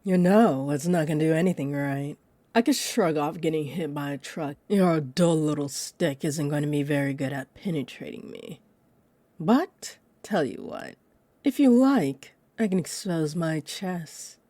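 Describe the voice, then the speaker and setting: Low, slow, possessive vampire woman, acted out. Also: mellow, no echo. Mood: mocking, confident. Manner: haughty, unhurried, amused, drifting into breathy near-whispers. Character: possessive, condescending, centuries-old female vampire, dominant and teasing. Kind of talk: roleplay audio drama monologue, addressed to listener.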